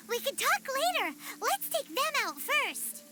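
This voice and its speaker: A woman voicing a tiny, earnest, childlike fairy companion in a video game, with a squeaky voice. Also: sing-song, slow pace, heavily acted. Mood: exasperated, urgent. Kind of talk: English video game voice acting. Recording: loud music behind the voice.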